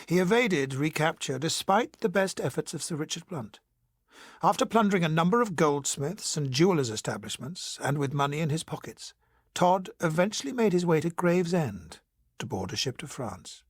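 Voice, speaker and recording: A man plays a genteel colonel narrating a period audio drama. His fairly high voice is husky and very lively in pitch, with formal storytelling and a close, dry sound. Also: normal speed, no echo. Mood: grave storytelling.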